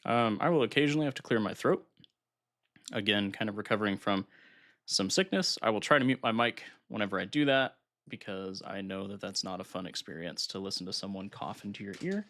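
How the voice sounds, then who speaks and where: A man with a lowish, gravelly voice chats casually on a live stream, sounding a bit nasal and congested from a recent illness. He muses aloud while he sets things up at his desk, in a relaxed, unhurried way. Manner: relaxed, thinking aloud while setting up software. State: recovering from illness, slightly congested.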